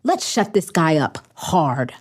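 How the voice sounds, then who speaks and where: A woman's voice: deep voice, sing-song, takes it slowly, slightly rough, full character voice. A woman playing a bold, decisive teenage superhero leader in an audio drama, earnest and commanding.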